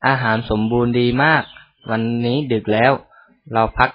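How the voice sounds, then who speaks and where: A woman voicing a small fatherly cavity bug in a children's storybook, speaking slow and low. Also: fairly even intonation. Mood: calm. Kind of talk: storybook voice acting. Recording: dull lo-fi recording.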